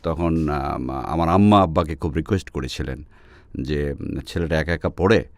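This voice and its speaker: A man's voice: rumbling low, normal speed, no room sound. A man from Bangladesh with a Bangladeshi accent, telling personal stories as an interview guest and often switching into English phrases. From Netrokona, Bangladesh.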